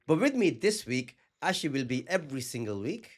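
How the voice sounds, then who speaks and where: A man's voice: medium pitch, big pitch swings, brisk. A man hosting a comedy podcast, chatting casually in a playful, teasing, boastful way. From England. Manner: playful, teasing, self-aggrandizing. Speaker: podcast host, stand-up comedian.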